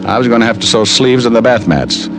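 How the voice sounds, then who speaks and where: A man plays a flippant hard-boiled private eye in a mid-range voice. He tells his story with wry wit in old radio style. Music is clearly heard behind him. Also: mid-range voice, expressive, fast, natural voice. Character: wisecracking male private detective telling his own story. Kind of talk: 1950s radio detective drama narration and acting.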